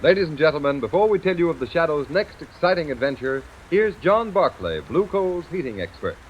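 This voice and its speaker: A man's voice: a little high, big pitch swings, brisk, soft background music. A man announcing formally in a scripted 1930s radio style. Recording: dull, noisy vintage radio recording.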